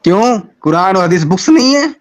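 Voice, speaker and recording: A man's voice: high-pitched, sing-song, brisk. A man arguing in a heated online debate, sarcastic and mocking. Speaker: debater on a live stream.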